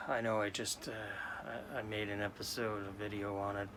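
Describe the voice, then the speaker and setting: A man in his late forties from New York, talking slowly and haltingly in a sad, subdued way as a sports card vlogger. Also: fairly low pitch, flat delivery, background noise. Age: 40s. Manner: halting, subdued, many restarts. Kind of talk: casual personal talk to camera.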